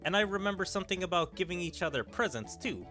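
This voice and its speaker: An easygoing young male leader speaks in a relaxed, friendly way, read aloud by a man streaming a game. His pitch moves about freely. Game music sits faintly underneath. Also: fast.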